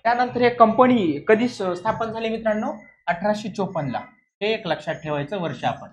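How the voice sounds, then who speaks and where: High-pitched male coaching teacher. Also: brisk. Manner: didactic, repetitive, checking understanding with rhetorical questions. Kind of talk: explanatory classroom lesson.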